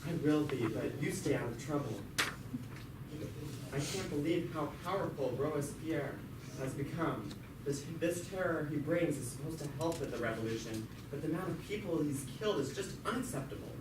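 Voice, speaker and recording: A man's voice: on the high side, animated, moderate pace, natural voice. Chatty jailed French revolutionary played by a man, echoey. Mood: earnest. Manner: conversational, sardonic asides, stumbling over words. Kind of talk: amateur puppet show narration. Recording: echoey, very noisy, distant mic.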